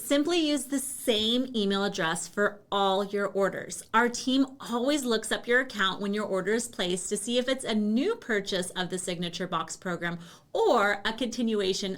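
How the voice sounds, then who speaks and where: A woman presenting a scripted explainer as a company representative, speaking in a clear, instructional way straight to the listener. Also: mid-range voice, a bit bright. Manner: clear, instructional, addressing the viewer directly.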